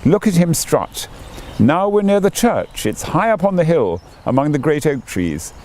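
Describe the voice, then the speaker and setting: A man's voice: on the high side, sing-song, a little slow, a little mellow, a little hiss or hum, pitched above the performer's own voice. A man reading the part of a magical little girl in a fairy tale, eager and exclaiming. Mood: excited, wondering. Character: excited little girl, magical elder spirit in disguise.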